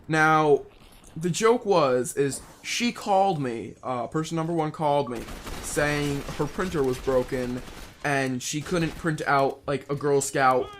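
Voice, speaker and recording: A young man telling a casual, unscripted story in a rambling, halting way, often rephrasing himself as he goes. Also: medium pitch, slight background noise. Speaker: online video storyteller.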